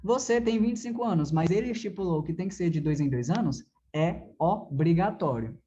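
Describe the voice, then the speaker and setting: A man's voice: high voice, expressive, a little fast, warm tone, dull audio. A Brazilian man giving a conversational classroom lecture as a driving theory teacher, didactic, posing questions and answering them himself with frequent check-in tags.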